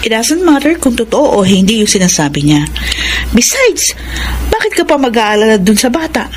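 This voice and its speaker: An actress playing a jealous, coolly controlling wife in a Filipino radio drama, probing and pointed. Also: female voice, on the high side, sing-song, normal speed. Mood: irritated, challenging. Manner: probing, pointed, mixes English into Tagalog. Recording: clear background noise.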